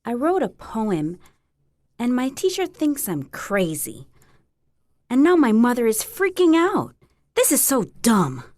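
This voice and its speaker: A woman's voice: on the high side, huge pitch swings, slow, darkish tone, dry recording, studio quality, exaggerated voice. A woman playing a troubled teenage girl in a staged drama, sullen, hesitant and defensive.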